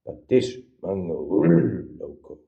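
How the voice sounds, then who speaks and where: A man from Finland with a Finnish accent, rambling deadpan through an absurd comedic product review and mixing languages. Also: deep voice, slow, gravelly. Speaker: vlogger reviewing an energy drink.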